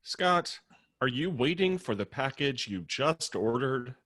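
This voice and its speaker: A man's voice: mid-range voice, lively intonation, a little slow, fairly bright tone. A man playing a sardonic, teasing roommate who likes a drink, dry in manner, in a comedy table read. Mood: deadpan.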